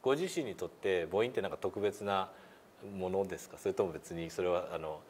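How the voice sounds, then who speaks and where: A man's voice: sits low, a little fast, a little mellow, slight background noise. A middle-aged man instructing politely in a meeting room, with many hesitations as he explains. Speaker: pronunciation instructor demonstrating an app. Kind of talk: explanatory lesson with polite questions.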